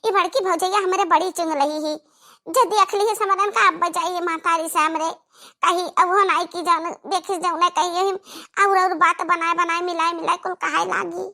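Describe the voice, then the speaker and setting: Picture a quarrelsome young village sister-in-law from a cartoon comedy. Her Awadhi-accented voice sits extremely high and rings sharp and piercing. She gossips and scolds with theatrical flair. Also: steady tone, normal speed, full character voice. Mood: alarmed, nagging. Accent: Awadhi, eastern Uttar Pradesh.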